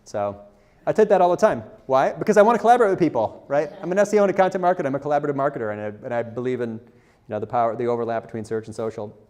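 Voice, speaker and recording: A man's voice: mid-range voice, lively intonation, very fast, darkish tone. A man speaking as a conference presenter in an informal, candid, self-deprecating style, putting questions to his audience. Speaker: conference speaker, content marketer.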